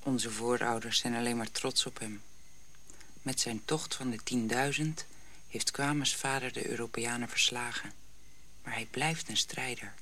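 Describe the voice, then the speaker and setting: Hushed, low-voiced gentle mother. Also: fairly even intonation, a little slow, slight background noise, full character voice. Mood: soothing. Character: gentle African mother soothing her young child. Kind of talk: radio drama acting.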